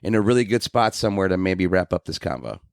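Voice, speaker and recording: A man with a deep voice hosts in a conversational, courteous and somewhat wordy manner. English is not his first language, and the sound is dry and close. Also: big pitch swings, fast talker, creaky, smooth, no room sound. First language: not English, an English language learner. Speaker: podcast host. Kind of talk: conversational interviewing.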